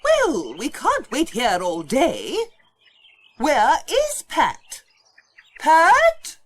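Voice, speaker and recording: A woman's voice: high-pitched, wildly swooping pitch, extremely slow, a bit bright, full character voice. A woman voicing a bossy, indignant village busybody with a scolding manner, in a children's storybook. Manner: imperious, scolding. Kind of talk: children's storybook audiobook character voice.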